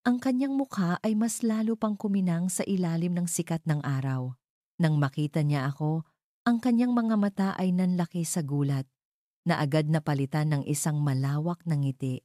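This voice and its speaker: Woman narrating a dramatic story, deep dark soft-toned voice, measured reading. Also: no room sound, studio quality. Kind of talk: scripted first-person story narration, dramatic.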